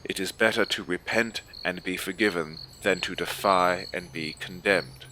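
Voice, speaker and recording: A man as a frenzied, loud mad submariner. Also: sits low, normal speed. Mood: frenzied, fervent. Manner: emphatic, urgent, exclamatory. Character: frenzied, fanatical mad German male submariner. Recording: clear ambient noise bed behind voice.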